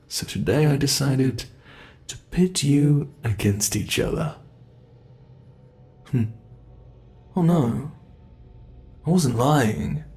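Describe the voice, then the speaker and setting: A man playing a menacing monster in an ASMR roleplay, taunting captives with theatrical, deliberate mock politeness. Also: medium pitch, a little mellow.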